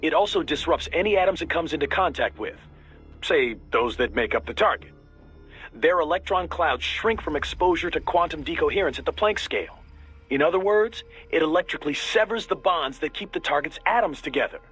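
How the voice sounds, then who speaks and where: A man's voice: a little high, expressive, brisk, a bit bright, slightly rough, soft background music, full character voice. An actor playing an easygoing, tech-savvy male mission advisor in a video game, explaining things casually and keenly. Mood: keen, explanatory. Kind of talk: video game radio briefing voice acting.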